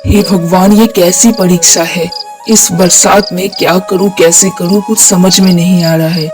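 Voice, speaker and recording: A performer voicing a poor, hardworking village farmer, gentle and devout, in earnest cartoon moral-story dialogue with plaintive exclamations. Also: medium pitch, steady tone, measured pace, over music, close to an everyday voice. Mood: worried, pleading.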